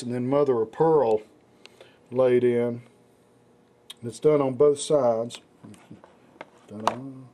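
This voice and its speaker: A man with a hoarse, raspy voice from the flu, hosting a casual knife show with rambling talk and many fillers. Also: a little high, a little mellow. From United States. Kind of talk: casual product demonstration.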